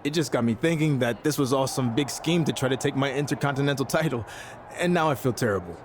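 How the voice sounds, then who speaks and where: A man's voice: mid-range voice, quick pace, lightly acted. Champion wrestler, game cutscene man: earnest, a little wary, mid-range voice, faint background noise. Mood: hesitant, sincere. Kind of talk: naturalistic video game cutscene acting.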